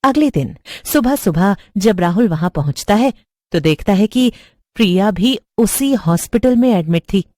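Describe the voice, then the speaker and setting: A female storyteller delivers scripted horror narration. Her pitch is mid-range for a woman, and the recording is close and dry, with no room sound. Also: expressive, normal speed, a little mellow, dry recording.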